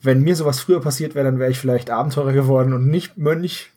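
A male podcast co-host with a slightly husky, mid-pitched voice. He talks casually and analytically, walking through a story step by step and correcting himself along the way. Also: fairly even intonation, quick pace, warm tone. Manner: analytical, explaining in detail with self-corrections. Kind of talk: casual discussion retelling a story.

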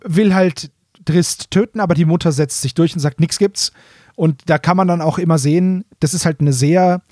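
A man's voice: on the high side, moderate pace, dark tone, no room sound, clean studio sound. A man co-hosting a podcast, retelling a story in casual, colloquial phrases and correcting himself as he goes. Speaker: podcast co-host.

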